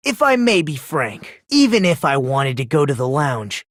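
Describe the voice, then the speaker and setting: An actor playing an eerily polite, smug high school boy in an anime game dub, talking in a condescending, leisurely, theatrical way. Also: big pitch swings, moderate pace, a bit bright, dry recording, studio quality. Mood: smug explaining. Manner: condescending, leisurely, theatrical, rhetorical tag questions. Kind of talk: anime video game dub acting, deductive monologue.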